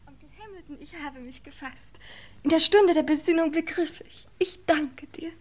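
An earnest young lady of the manor played by an actress in a radio drama. Her voice is high, her phrasing solemn and stilted with an eager streak. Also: normal speed, natural voice. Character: earnest, high-minded young aristocratic niece. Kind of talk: German radio crime play acting.

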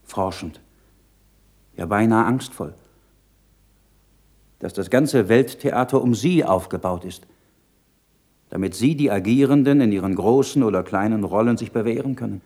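An actor playing an earnest, troubled learned monk in a radio play, speaking in a measured, solemn, rhetorical way. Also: male voice, lowish voice, mellow. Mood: urgent, pleading. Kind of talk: German radio play acting.

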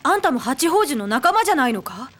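A woman's voice: high-pitched, big pitch swings, fast talker, a bit bright. A woman voicing an earnest, friendly young boy hero in a Japanese anime drama. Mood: earnest, questioning.

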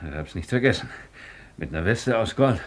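An actor playing a wistful, down-at-heel joiner who drinks, halting and pleading, in a 1950s German radio play. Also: male voice, deep voice, very animated. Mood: pleading, boastful. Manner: halting, pleading, swinging into boastful hope.

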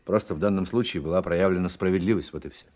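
An actor playing a middle-aged naval officer, a gruffly kind mentor, in a radio play. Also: male voice, low voice, quick pace, darkish tone, natural voice. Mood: kindly.

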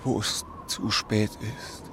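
An actor in a radio play playing a sorrowful, resigned condemned man, speaking gravely in halting, breathy phrases. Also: close to an everyday voice. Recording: loud percussive music underneath.

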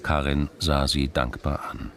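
Male narrator, deep register, literary crime radio drama, scripted read. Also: little pitch movement, unhurried, fairly bright tone.

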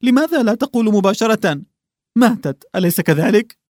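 A man's voice: sits high, expressive, a little fast, darkish tone, no room sound, studio quality, pitched above the performer's own voice. A man doing a tearful anxious colonel. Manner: pleading, anxious questioning. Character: distraught middle-aged male colonel, tearful, nervous.

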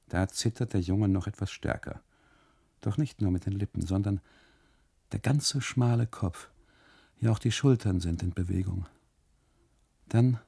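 A man narrating a literary radio monologue in the first person, deliberately, in short phrases broken by pauses. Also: lowish voice, creaky, mellow, husky.

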